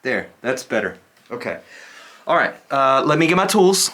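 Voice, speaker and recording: A man plays an unsettling, slightly deranged male doctor in an ASMR roleplay. He speaks softly at a medium pitch, halting and repeating himself in a distracted, insistent way. There is some background noise on the recording. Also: very animated, natural voice. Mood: muddled, awkward. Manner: halting, repetitive, distracted muttering. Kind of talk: soft-spoken ASMR roleplay.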